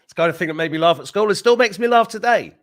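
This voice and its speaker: A man's voice: sits high, expressive, rapid-fire. A middle-aged man hosting a live stream, chatting casually with viewers, joking, laughing often and drifting into rambling asides. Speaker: host.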